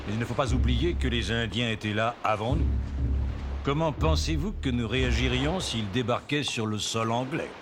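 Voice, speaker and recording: A man's voice: medium pitch, moderate pace, close to an everyday voice. A man voicing a composed, fair-minded colonial captain in a cartoon, polite and commanding, over music. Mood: calm authority. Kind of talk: French animated film dubbing. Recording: music behind the voice.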